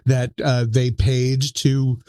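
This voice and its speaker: A man's voice: medium pitch, flat delivery, takes it slowly, mellow, clear, resonant tone, dry recording, clean studio sound. A man hosting a podcast, running a trivia quiz in a casual, chatty style. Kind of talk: casual quiz-show chat.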